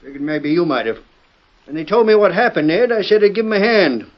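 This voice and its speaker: A homespun western sheriff for old-time radio. His voice is fairly high, with a Western American drawl and a slightly slow-witted, indignant air, heard through a muffled old recording. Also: expressive. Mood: easygoing.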